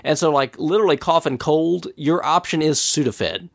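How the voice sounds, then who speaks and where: A man's voice: on the high side, normal speed, gravelly, no room sound. A man hosting a podcast who has a cold and sounds a little nasal and scratchy, chatting casually and laughing often as he tells stories. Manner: chatty storyteller, laughs often, animated retelling. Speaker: podcast host.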